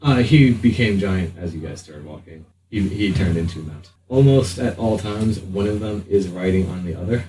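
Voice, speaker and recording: Male game master, low register, casual joking narration, salty language, distant mic with faint noise. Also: expressive, moderate pace, hardly any pauses, warm tone, reverberant. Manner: joking, sweary.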